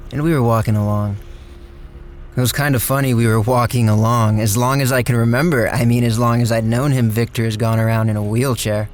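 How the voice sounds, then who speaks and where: A man's voice: mid-range voice, a little mellow, close to an everyday voice. An actor playing an easygoing handyman with a folksy, rambling way of telling his shady story in a radio drama. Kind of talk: radio drama first-person narration and dialogue.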